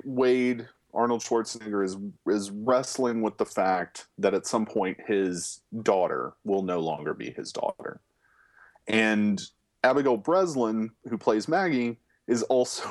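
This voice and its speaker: Casting: man, lowish voice, relaxed profane podcast film talk. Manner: loose and profane, lots of fillers. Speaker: podcast co-host, film reviewer. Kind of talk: casual chat.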